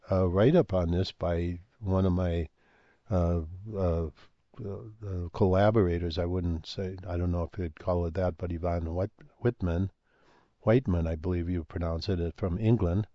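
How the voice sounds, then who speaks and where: An older man talking in a rambling monologue, halting with many fillers and restarts, and losing his voice. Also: sits low, no echo, lo-fi sound. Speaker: speaker on ancient history and comets.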